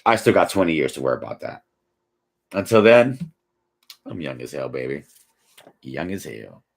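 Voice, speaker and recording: Casual live-stream host, man, 40s, husky and tired, lively swings in pitch, slangy. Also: normal speed. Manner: laid-back slangy, drifts from mumbling to excited. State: tired, yawning. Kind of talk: casual live chat reading comments.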